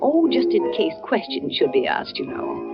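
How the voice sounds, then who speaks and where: A woman's voice: fairly low pitch, wildly swooping pitch, normal speed, creaky, full character voice. An actress playing a glamorous, scornful woman taunting her lover in a radio drama. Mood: mocking. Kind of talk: 1940s-50s radio drama acting. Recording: muffled old radio recording, music behind.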